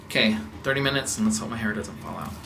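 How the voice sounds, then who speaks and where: An American man telling a personal story as a casual vlog host, in a rambling, halting way. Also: on the low side, vocal fry. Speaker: house music producer and DJ hosting a vlog. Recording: roomy, distant mic with background noise.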